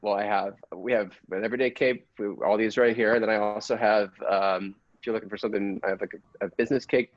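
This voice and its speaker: A man playing a chatty, slightly snobbish clothing salesman in an improvised comedy scene, with halting, colloquial patter. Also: fairly low pitch, fairly even intonation, no echo, lo-fi sound, lightly acted. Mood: accommodating. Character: chatty male cape-shop salesman, faintly snobbish about fashion.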